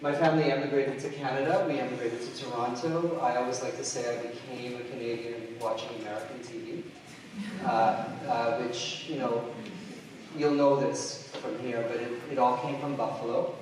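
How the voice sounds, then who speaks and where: Middle-aged guy giving a keynote, lots of ums, far off mic in a big echoey hall, faint hum. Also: medium pitch. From Trinidad and Tobago. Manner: hesitant, many fillers and restarts. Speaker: keynote speaker. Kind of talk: conference keynote talk. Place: large lecture hall.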